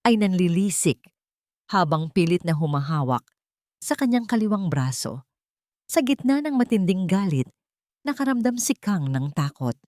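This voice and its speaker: Low-voiced Filipino woman narrating drama. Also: a little mellow, no echo, clean studio sound. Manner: solemn, theatrical. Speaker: story narrator.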